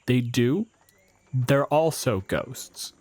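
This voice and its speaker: A man's voice: medium pitch, lively intonation, drawn-out words, darkish tone, slight background noise. Male game master, mid-range voice, improvising scenes conversationally with dry, sweary humor. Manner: conversational, wry, profane. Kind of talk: improvised casual game narration.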